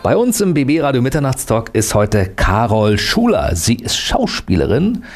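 A man hosting a late-night radio talk show, bantering and flattering his guest with a jokey manner. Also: medium pitch, normal speed, music in the background.

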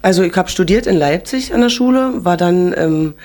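A woman from East Berlin, an actress, talking lively and joking as a radio interview guest. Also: lowish voice, moderate pace. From Germany, East Berlin.

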